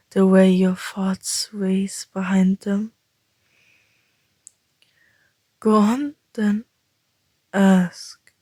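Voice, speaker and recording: A woman playing an ancient, teasing vampire in an intimate ASMR roleplay, breathy and often whispering, calm and deliberate. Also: medium pitch, dry recording. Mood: calm, knowing. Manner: slow, deliberate, teasing, with long pauses.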